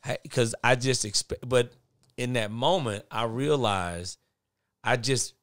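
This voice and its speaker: A middle-aged man with a naturally big, forceful voice, talking candidly in a podcast conversation and getting louder when he drives a point home. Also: medium pitch, slow pace, no room sound, studio quality. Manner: earnest and candid, speeds up and swells in volume when making a point. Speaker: guest, father of boys.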